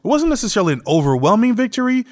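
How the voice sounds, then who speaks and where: A man's voice: high voice, very animated, very fast. A man co-hosting a podcast in a casual, bantering style, playfully argumentative. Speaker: podcast co-host.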